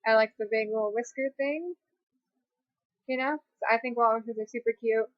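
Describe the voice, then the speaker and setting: A woman's voice: a little high, normal speed, no echo. A twenty-year-old woman answering viewer questions in a casual, rambling way, full of fillers and restarts. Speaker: YouTuber. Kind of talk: casual facecam Q&A. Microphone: webcam mic. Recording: dry, dull lo-fi webcam audio.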